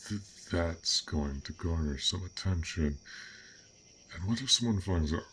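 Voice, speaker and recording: A man voicing a gentle, bashful dragon in an intimate fantasy audio roleplay, speaking haltingly in formal, old-fashioned words. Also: deep voice, expressive, slight background noise. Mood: flustered, hesitant. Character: fearsome but gentle, bashful, curious male dragon. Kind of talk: roleplay audio acting, intimate one-sided dialogue.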